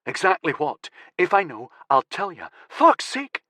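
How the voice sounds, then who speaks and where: A man voicing a terrified, furious young man held captive, shouting and swearing in panic. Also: a little high, wildly swooping pitch, unhurried, no echo, studio quality, pitched above the performer's own voice, heavily acted. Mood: panicked, furious.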